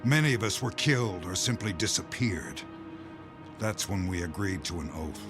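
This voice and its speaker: Man playing a low-voiced, grim conspiracy insider. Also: lightly acted. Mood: grave, bitter. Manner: measured, weighty, emphatic short phrases. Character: grim male insider revealing a doomsday conspiracy. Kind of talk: audio drama dialogue. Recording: music bed under the voice.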